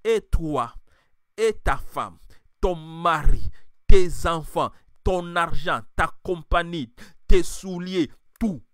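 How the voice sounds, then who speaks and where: High-voiced impassioned male preacher. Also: takes it slowly, no echo, studio quality. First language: French, now mainly English-speaking. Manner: impassioned, swings from quiet to shouting. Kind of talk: sermon.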